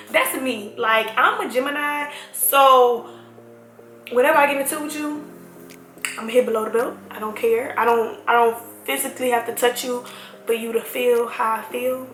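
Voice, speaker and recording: Bright animated young woman vlogger. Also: on the high side. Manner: animated, slangy, emphatic, addresses viewers as y'all. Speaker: vlogger telling a story. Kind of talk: casual storytime to camera. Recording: echoey room with faint music.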